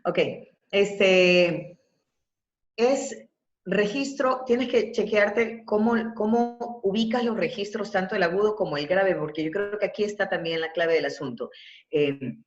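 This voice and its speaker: A woman's voice: medium pitch, a little slow, soft background music. A woman with an Ecuadorian accent coaching voice and breathing in an online webinar, explaining with emphasis. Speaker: university professor and voice coach. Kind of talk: teaching breathing and vocal exercises in a webinar. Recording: muffled, echoey webinar audio.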